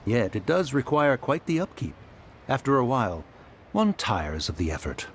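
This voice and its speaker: Proud archaic mountain adeptus; expressive rise and fall, formal sighing delivery. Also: male voice, medium pitch, moderate pace, a little hiss or hum. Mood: stately. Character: proud old male mountain adeptus in human form. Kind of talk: game voice acting.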